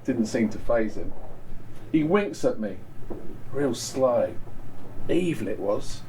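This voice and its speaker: A man's voice: mid-range voice, expressive, slow pace, warm tone, a little hiss or hum, poor audio. Man playing a cheeky, gossipy young Victorian dancing girl. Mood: scornful, sly. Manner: colloquial, sardonic, theatrical storytelling. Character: cheeky, gossipy young Victorian woman dancer telling a tale. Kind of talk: first-person dramatic monologue reading.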